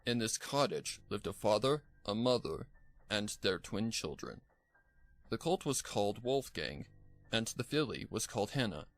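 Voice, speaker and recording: A man's voice: on the low side, big pitch swings, moderate pace, faint music behind. A man reading a scripted story aloud as its narrator. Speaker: fan fiction narrator.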